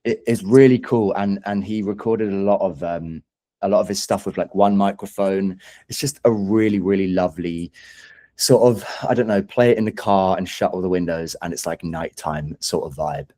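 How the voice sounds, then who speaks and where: Low, mellow-voiced man chatting thoughtfully. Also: normal speed. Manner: hesitant, thoughtful, self-deprecating. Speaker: singer-songwriter guest. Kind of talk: casual interview chat.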